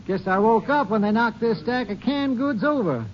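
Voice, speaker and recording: An actor playing a sleepy, easygoing rural storekeeper, drawling folksy talk in a 1950s radio drama. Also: male voice, very high voice, moderate pace. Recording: noisy dull old radio recording.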